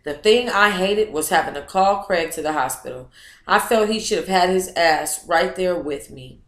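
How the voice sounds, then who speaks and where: A woman with a fairly low voice reads aloud in a casual, expressive way, sometimes breaking off to chat with her listeners. The room around her is echoey. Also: normal speed. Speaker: book club host reading aloud. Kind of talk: casual reading of a memoir, then chat with viewers.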